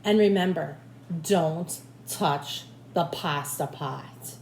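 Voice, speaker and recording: A woman voicing a firm old grandmother witch from a storybook, giving slow, emphatic instructions. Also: deep voice, creaky, darkish tone, roomy sound, a little hiss or hum, full character voice. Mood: firm, instructing.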